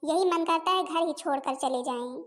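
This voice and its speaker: Cartoon daughter-in-law, played by a woman: young, dutiful, chatty, extremely high voice. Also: moderate pace, lightly acted. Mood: aggrieved. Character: dutiful young Indian daughter-in-law, polite, long-suffering housewife. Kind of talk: family comedy cartoon dialogue.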